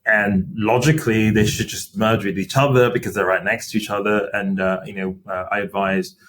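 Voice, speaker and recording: A middle-aged man with a somewhat low voice talks through his answers in a measured, explanatory way. He leans on frequent fillers as he thinks aloud. A faint hiss of noise sits under the recording. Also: fairly even intonation, fairly bright tone, low-quality recording. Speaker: company CFO as podcast guest. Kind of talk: interview conversation.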